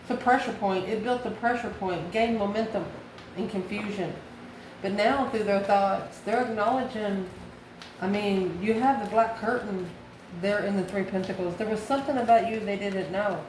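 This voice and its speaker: A woman's voice: mid-range voice, moderate pace, darkish tone. Woman reading tarot in an echoey room. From United States. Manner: musing aloud, asks and answers own questions. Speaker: tarot card reader. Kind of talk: casual tarot reading, spoken off the cuff. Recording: echoey room, clear background noise.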